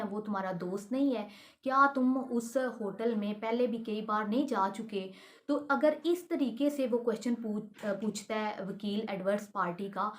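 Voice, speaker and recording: A woman with a Pakistani accent explaining step by step in a lecture style, hesitating and repeating herself as she teaches. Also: fairly high pitch. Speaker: law lecturer.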